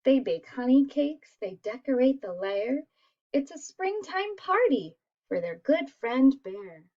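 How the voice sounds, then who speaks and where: A woman reading a children's picture book aloud as an expressive storyteller who draws listeners in. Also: high voice, unhurried, dull audio. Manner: expressive, asks listeners questions.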